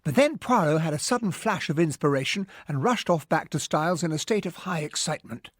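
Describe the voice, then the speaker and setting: An actor playing a gentlemanly English narrator, reading scripted radio drama narration in an English accent. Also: male voice, a little high, lively intonation, a little fast, lightly acted. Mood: measured. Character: gentlemanly English male narrator recalling events.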